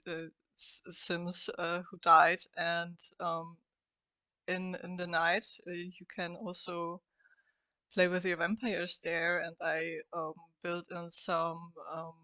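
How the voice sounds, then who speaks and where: A woman's voice: fairly low pitch, vocal fry, bright, forceful tone, dry recording. A woman with a cold and a scratchy voice, hosting a casual game-building voice-over, hesitant and full of fillers. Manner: hesitant, many fillers, chatty and upbeat. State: has a cold. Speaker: game builder and channel host.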